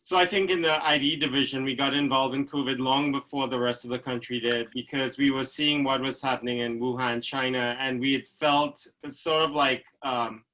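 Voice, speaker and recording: Trinidadian-accented male doctor, middle-aged, bright tone, chatty anecdotal delivery. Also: medium pitch, steady tone, normal speed, hardly any pauses, poor audio. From Trinidad and Tobago. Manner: chatty, storytelling with fillers like "like" and "you know". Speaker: infectious disease physician guest. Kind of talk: relaxed anecdotal conversation.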